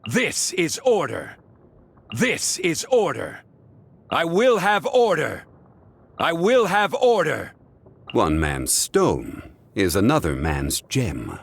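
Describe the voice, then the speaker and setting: A man voicing an ancient, dignified guardian in a video game, formal, measured and contemplative in manner. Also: a little high, creaky, fairly bright tone, breathy edge, exaggerated voice. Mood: commanding battle cries, then composed. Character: ancient, dignified male guardian of contracts, calm and wistful. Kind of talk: scripted video game character voicelines.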